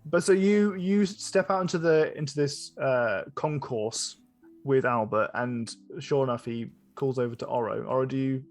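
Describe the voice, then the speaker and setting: A man narrating a tabletop game as game master, improvising in a halting way and often rephrasing. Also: medium pitch, soft background music.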